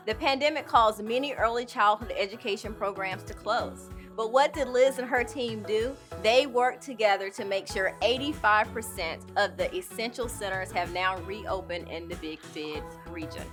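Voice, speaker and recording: A woman's voice: medium pitch, a bit bright. A woman co-hosting an awards program, reading scripted introductions of honorees in a formal, careful way.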